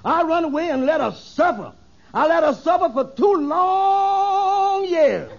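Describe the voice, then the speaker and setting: Very high, swooping shady lawyer. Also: male voice, lively intonation, vocal fry, slight background noise, exaggerated voice. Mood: boastful. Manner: brisk, cocksure storytelling. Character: brash, shady, inept comic lawyer. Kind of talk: 1950s radio sitcom acting. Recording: dull old radio recording.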